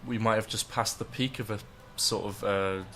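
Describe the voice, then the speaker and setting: A man's voice: fairly low pitch, steady tone, normal speed, fairly bright tone, slight background noise. A young man presenting a radio show and interviewing a comedian, hesitant and full of fillers. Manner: hesitant, lots of uh and sort of.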